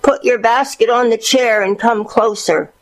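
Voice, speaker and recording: A woman voicing a hungry, cunning male wolf who feigns a grandmother's sweetness in a children's storybook, coaxing and drawn-out. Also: a little high, steady tone, pitched above the performer's own voice, close to an everyday voice, rough recording. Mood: sly, coaxing.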